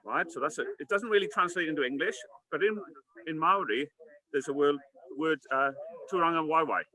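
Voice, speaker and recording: A man's voice: fairly high pitch, expressive, bright, forceful tone, rough recording. A man from Wales, a parkrun regular talking casually outdoors and explaining words with care. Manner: earnest, explaining words slowly. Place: outdoors at a park run event.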